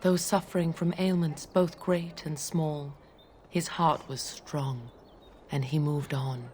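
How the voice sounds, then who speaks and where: An actress playing a solemn chanter in a video game, reciting holy verse in a measured, reverent way. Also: female voice, sits low, steady tone, a little mellow, a little hiss or hum.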